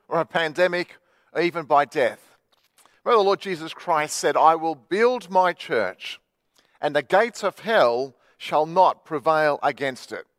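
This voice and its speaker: A high-pitched man preaching as a Baptist pastor. He tells stories in a relaxed, chatty way with plenty of "uh"s, his voice very close and dry with no room sound. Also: no echo. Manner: chatty storytelling with many fillers. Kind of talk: sermon. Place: church livestream.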